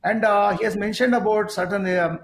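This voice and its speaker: A man with an Indian English accent, hosting a sports talk show and speaking haltingly with many fillers. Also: high voice, steady tone, slight background noise. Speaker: talk show host, sports psychology coach. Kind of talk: conversational interview talk. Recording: roomy echo.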